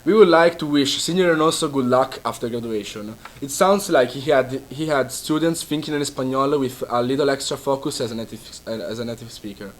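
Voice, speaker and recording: A man's voice: medium pitch, brisk, hardly any pauses. A teenage boy co-hosting a student news show, reading an upbeat script.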